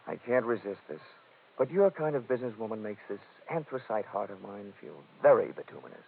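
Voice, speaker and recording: An actor playing a wisecracking, flirtatious hardboiled investigator in 1950s radio detective style, wry and smooth-talking. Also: male voice, fairly low pitch, expressive, normal speed, a little hiss or hum. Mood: teasing, brisk. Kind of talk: 1950s radio detective drama acting and narration. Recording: tinny 1950s radio recording, narrow band.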